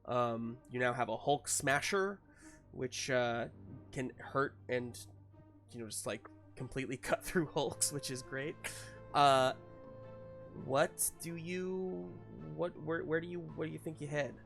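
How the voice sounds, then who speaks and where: A man runs a tabletop roleplaying game at an unhurried pace. He improvises his narration in a casual, halting way, pausing to think. Soft music plays behind his voice. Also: medium pitch. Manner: hesitant, improvising as they go. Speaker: game master.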